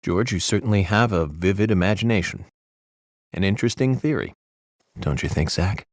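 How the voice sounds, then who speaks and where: An actor playing a self-assured, coolly analytical male federal investigator in a video game, measured and matter-of-fact as he lectures. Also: sits low, animated, no room sound, studio quality. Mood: musing. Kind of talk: video game cutscene acting.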